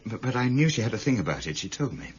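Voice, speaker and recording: An actor playing an anxious, evasive academic in a radio crime drama, polite, hesitant and stammering. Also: male voice, mid-range voice, very animated, rapid-fire, husky, highs cut off. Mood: defensive, flustered.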